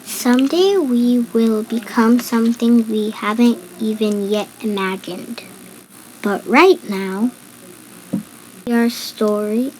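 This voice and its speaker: Woman reading a kids picture book out loud real slow, bit of noise behind her. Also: fairly high pitch, poor audio. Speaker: storybook reader. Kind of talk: picture book read-aloud. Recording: background noise.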